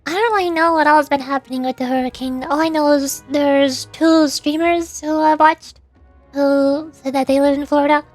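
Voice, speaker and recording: A woman's voice: sits high, steady tone, moderate pace, faint music behind. A woman streaming as a VTuber, chatting casually in a playful, rambling way during a game livestream.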